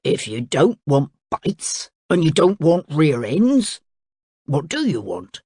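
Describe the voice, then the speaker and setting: A woman doing a slow, deep-voiced greedy crocodile. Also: big pitch swings, warm tone, breathy edge, no echo, studio quality, full character voice. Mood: eager. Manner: slow, low drawl.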